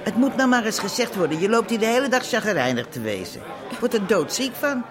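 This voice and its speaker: An exasperated, reproachful café colleague in a radio drama. The voice is high and lively in pitch, set against a busy crowd. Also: male voice, fairly quick. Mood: exasperated, scolding. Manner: curt, reproachful. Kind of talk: Dutch radio drama acting. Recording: busy crowd murmur behind.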